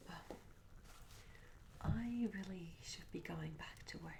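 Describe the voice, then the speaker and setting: A woman voicing a shy, curious young medieval tavern maid in a soft-spoken, half-whispered ASMR roleplay, gentle and hesitant with formal old-fashioned wording. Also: on the low side, slow, vocal fry, heavy background noise. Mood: gentle, hospitable. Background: tavern ambience sounds.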